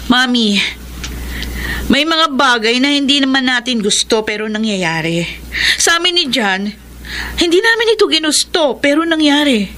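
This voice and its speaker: An actress playing a defiant, unrepentant grown daughter in a Filipino radio drama, with a Filipino accent. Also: female voice, sits high, lively intonation, normal speed, bright, forceful tone, a little hiss or hum. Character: defiant adult daughter Nitz, unrepentant adulterous wife.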